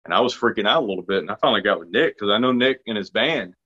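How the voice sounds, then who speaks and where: A man's voice: on the low side, lively intonation, bright, forceful tone, breathy edge. Man with a dull lo-fi sound. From Arkansas. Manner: halting, restarts often, self-deprecating. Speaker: actor guest. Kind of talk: casual interview chat.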